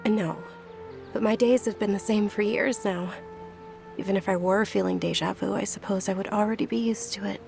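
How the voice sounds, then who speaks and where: Woman as a gentle, frail young noblewoman, creaky. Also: medium pitch, fairly even intonation, moderate pace, natural voice. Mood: resigned. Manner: soft-spoken, polite, resigned. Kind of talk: video game character acting. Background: music.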